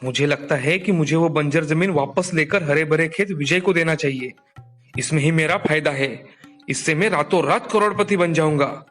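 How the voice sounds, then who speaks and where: A man's voice: on the high side, moderate pace, a bit bright, soft background music. A man playing a greedy, calculating elder brother who owns village land, in a theatrical folk tale style. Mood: scheming. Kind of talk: dramatized Hindi folk tale dialogue.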